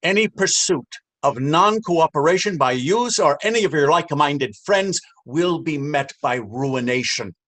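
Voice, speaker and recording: A man's voice: on the high side, animated, a little slow, hardly any pauses, fairly bright tone. An actor playing a bullying, sceptical Dublin policeman in a stage reading, mocking and officious. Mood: scornful. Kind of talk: stage readers theater acting.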